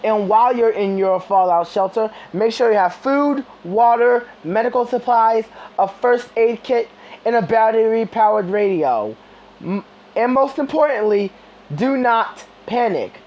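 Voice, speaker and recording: Deliberate emergency announcement voice, mid-pitched. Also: expressive, muffled recording. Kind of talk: scripted emergency broadcast announcement and dialogue.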